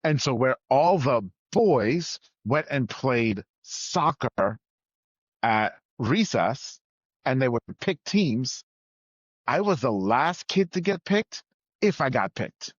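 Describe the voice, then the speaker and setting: A man's voice: medium pitch, big pitch swings, takes it slowly, no room sound. Emphatic man, slightly muffled recording. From Trinidad and Tobago, moved to the US at nine. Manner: emphatic, repeats phrases for weight. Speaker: podcast guest. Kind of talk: conversational personal storytelling. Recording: slightly dull, lo-fi sound.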